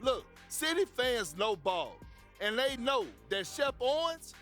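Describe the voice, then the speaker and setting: A man's voice: very high voice, big pitch swings, takes it slowly, fairly bright tone, soft background music, exaggerated voice. An actor playing a brash, mocking male sports TV pundit in a video game cutscene.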